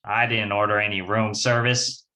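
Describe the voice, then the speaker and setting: Guy doing tough young kidnapper punk from an old radio show, slangy, sharp forceful voice. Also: lowish voice, little pitch movement, moderate pace, low-quality recording. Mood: irritated. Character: cocky young small-time hoodlum kidnapper, slangy, menacing. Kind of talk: amateur 1940s radio crime acting.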